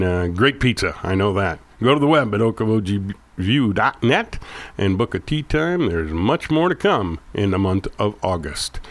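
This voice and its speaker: Man with a head cold, nasal, lively up-and-down voice, dry close sound, folksy radio host. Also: lowish voice, no echo. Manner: folksy, loose, with fillers. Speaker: radio golf show host. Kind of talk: casual radio chat and announcements.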